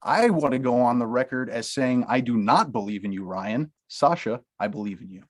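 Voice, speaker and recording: Brief: male actor as a crude, laughing agent, middle-pitched voice. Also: normal speed, lightly acted. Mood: exasperated. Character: blunt, mocking male Hollywood agent. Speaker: actor at a table read. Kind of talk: comedic table read.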